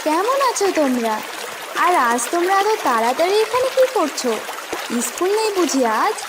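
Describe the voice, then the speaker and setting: A woman's voice: very high-pitched, very animated, moderate pace, distant mic, background noise. A woman voicing a friendly, playful young girl in a cartoon. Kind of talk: cartoon dialogue dub.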